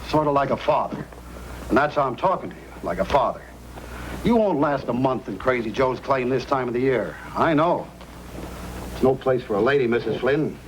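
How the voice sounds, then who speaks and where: A male actor as a folksy, crafty storekeeper of the frontier. He speaks at a middling pitch in old-time radio style, with background noise on the vintage recording. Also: animated, normal speed, close to an everyday voice, low-quality recording. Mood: folksy, fatherly. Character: folksy fatherly frontier storekeeper who is secretly a crafty outlaw.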